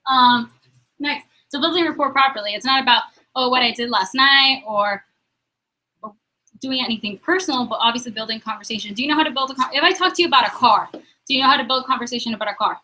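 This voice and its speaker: A young woman leading a sales training meeting, upbeat and quizzing the room with quick questions. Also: high voice, sharp tone, poor audio.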